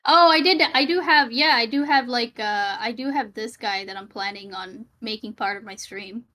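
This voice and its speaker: A woman's voice: a little high, normal speed, sharp tone. A woman from Sri Lanka, a streamer, talking over a voice call in a casual debate, hesitant, with many fillers and restarts.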